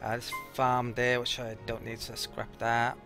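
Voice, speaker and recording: A man's voice: mid-range voice, monotone, measured pace, loud music behind the voice. A man giving casual gameplay commentary as a let's play host, thinking aloud in a hesitant, rambling way.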